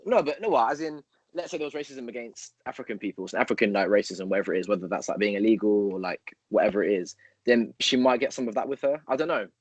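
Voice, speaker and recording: A man's voice: medium pitch, no echo. A man co-hosting a podcast, thinking aloud in a rambling way, full of fillers and hypothetical examples. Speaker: podcast co-host. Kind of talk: casual conversational interview.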